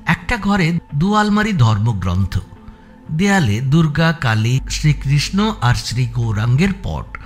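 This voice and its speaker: Very animated male story narrator. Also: medium pitch, unhurried. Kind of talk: scripted audio story narration. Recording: music bed behind the voice.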